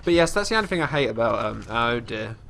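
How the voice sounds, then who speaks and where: Stuffy-nosed man, mid-pitched, casual rambling game commentary with a faint hiss behind. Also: sing-song, quick pace, gravelly, fairly bright tone. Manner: rambling, chatty, often restarting sentences; talks about his character in third person. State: congested. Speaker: let's play host.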